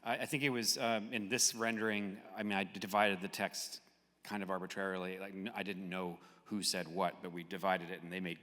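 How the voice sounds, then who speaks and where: Bright-voiced man moderating in an echoey hall. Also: low-pitched, flat delivery, fairly quick. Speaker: host moderating an audience discussion. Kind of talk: spontaneous discussion moderating. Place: large hall at a live public event. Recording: echoey hall with long reverb.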